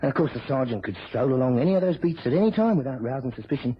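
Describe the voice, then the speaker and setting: An actor playing an earnest, anxious young police constable in a vintage radio drama. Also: male voice, medium pitch, lively intonation, rapid-fire, husky. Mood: bewildered. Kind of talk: 1950s-60s British radio drama acting. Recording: dull, hissy vintage radio recording.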